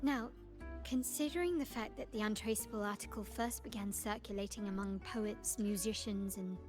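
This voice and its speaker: A woman's voice: mid-range voice, moderate pace, over music, natural voice. An actress playing a poised, shrewd noblewoman in a game, speaking in a formal, composed, analytical way. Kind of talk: scripted game voice acting.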